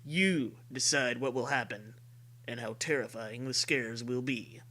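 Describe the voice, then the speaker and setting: A man's voice: mid-range voice, measured pace, sharp tone. A man hosting a comedy show, reading parody fiction aloud theatrically and prone to breaking into laughter. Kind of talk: comic read-aloud of parody fiction with banter.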